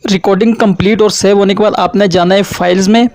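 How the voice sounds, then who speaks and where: A man's voice: high-pitched, fairly even intonation, fast talker, a little mellow, no room sound. A man hosts an app tutorial. He explains each step in turn, and the recording is dry and close, without room sound. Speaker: tutorial host.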